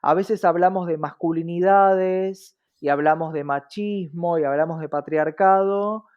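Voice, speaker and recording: A woman's voice: on the low side, measured pace, lo-fi sound. A woman with an Argentine accent explaining things conversationally as an interview guest, reflective, often checking in with rhetorical questions.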